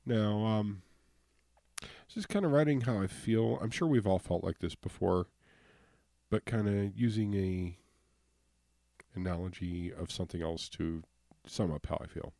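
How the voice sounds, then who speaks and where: A man hosting a poetry radio show, chatting in a jokey, animated way. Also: fairly low pitch, a little slow, creaky, pauses often, no room sound, clean studio sound. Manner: jokey, animated, talks to a co-host. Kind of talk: casual radio chat.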